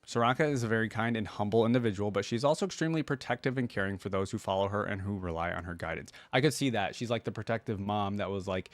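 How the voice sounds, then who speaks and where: A man hosting a reaction video, reading text aloud and thinking out loud in casual asides between passages. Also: lowish voice, no echo.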